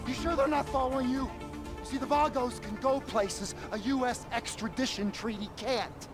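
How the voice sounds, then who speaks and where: An actor playing a wary criminal accomplice in a video game, anxious and halting as he rambles. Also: very high-pitched. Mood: wary, relieved. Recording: music over voice. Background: music.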